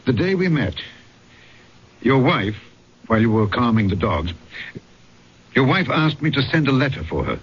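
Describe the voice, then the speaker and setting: An actor playing an earnest, apologetic and shaken police magistrate in an old-time radio drama, formal and emotive. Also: male voice, mid-range voice, very animated, normal speed, long pauses, husky. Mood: apologetic, defensive. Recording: muffled old radio recording with loud music.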